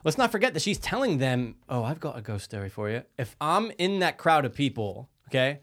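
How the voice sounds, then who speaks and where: A man's voice: medium pitch, very animated, brisk, a bit bright. A man with a mid-range voice co-hosts a casual podcast. He complains bluntly and sarcastically. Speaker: podcast co-host. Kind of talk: casual chat, TV review.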